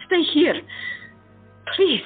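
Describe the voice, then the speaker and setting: A woman's voice: extremely slow, narrow, tinny audio, faint music behind. A woman playing a brave, caring female doctor who speaks in a firm, protective way in an audio drama.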